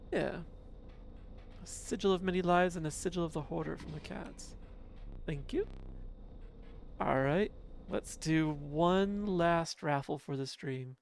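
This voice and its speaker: A man with a mixed accent casually commentating his card game as a streamer, musing slowly and chuckling to himself. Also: fairly high pitch, gravelly, a little hiss or hum.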